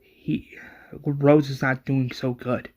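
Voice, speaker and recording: Male storyteller as male doctor in an action tale, worried, insistent, average pitch. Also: flat delivery, takes it slowly, darkish tone, dull audio. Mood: worried urgency.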